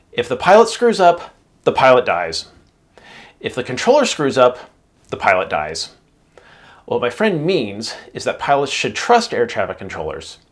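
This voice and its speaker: Male explainer host for an aviation video, mid-range voice, measured and emphatic where it counts. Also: mid-range voice.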